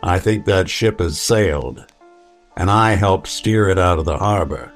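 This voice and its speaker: An actor playing a weary, wry synth detective with a dry deadpan delivery in an old-time radio noir drama. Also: male voice, sits low, measured pace, soft background music. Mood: wry, resigned.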